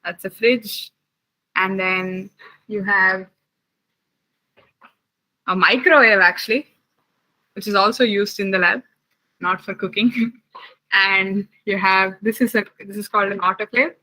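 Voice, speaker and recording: A woman's voice: medium pitch, steady tone, bright, forceful tone, rough recording. A young woman from Chennai, chatting with children while giving a science camp lab tour over a video call. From Chennai, India. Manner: chatty, asks the children many quick questions.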